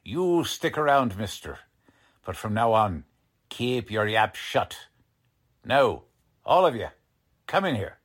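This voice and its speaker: Slow, gruff 1940s police lieutenant, played by a man. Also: medium pitch, very animated, exaggerated voice. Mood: stern, sarcastic. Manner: slangy, ungrammatical tough-cop talk, accusing. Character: gruff, hard-boiled 1940s police lieutenant, impatient and bullying. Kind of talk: 1940s radio drama acting.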